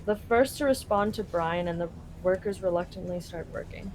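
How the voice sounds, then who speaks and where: A woman's voice: fairly low pitch, moderate pace, a little mellow, a little hiss or hum, low-quality recording. A woman from Canada casually translating a game live, paraphrasing loosely with lots of fillers. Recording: game music behind.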